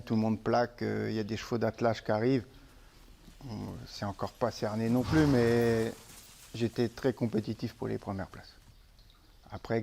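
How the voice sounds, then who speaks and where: A middle-aged man from Normandy, a horse trainer, speaking in a relaxed, halting way with restarts in a casual interview. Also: medium pitch. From Manche, Normandy, France.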